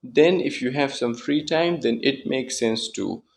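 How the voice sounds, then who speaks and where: A man's voice: mid-range voice, unhurried, vocal fry, slight background noise. A young man who is not a native English speaker, explaining a language lesson earnestly to camera and repeating his key points. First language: non-native English speaker. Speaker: English teacher.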